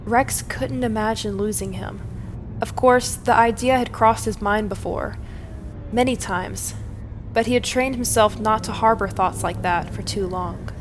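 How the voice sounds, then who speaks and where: A woman's voice: fairly high pitch, measured pace. A woman reading scripted, dramatic fiction narration as the storyteller. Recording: music bed under the narration. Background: music.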